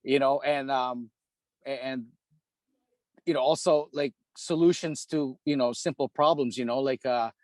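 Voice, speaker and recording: A man's voice: medium pitch, fairly even intonation, a little slow, dry recording. A man from the Philippines, a hockey repair shop owner, chatting casually as a podcast guest, rambling with lots of "dude" and "you know".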